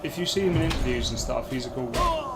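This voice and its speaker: A man's voice: mid-range voice, expressive, quick pace. Teasing man bantering over game music. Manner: teasing, crude, blunt. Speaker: gamer co-host. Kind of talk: casual banter while gaming. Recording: music playing behind.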